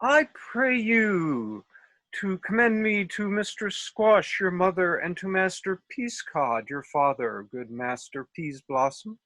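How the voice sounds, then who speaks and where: A man's voice: high voice, animated, takes it slowly, bright, forceful tone, dry recording, heavily acted. A man playing a foolish, self-assured but courteous rustic workman in a comic Shakespeare table read, with theatrical delivery over a video call.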